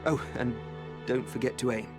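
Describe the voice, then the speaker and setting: A man's voice: medium pitch, fairly even intonation, normal speed, over music, close to an everyday voice. An actor playing a polite, earnest male sorcerer in a video game, speaking in formal, courteous words. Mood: earnest, reflective. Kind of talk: video game character dialogue.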